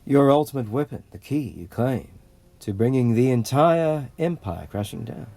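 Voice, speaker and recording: An actor playing a grandiose, idealistic rebel leader who narrates an audio comic drama in a theatrical, deliberate way. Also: male voice, mid-range voice, animated, creaky, mellow, no echo. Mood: persuasive.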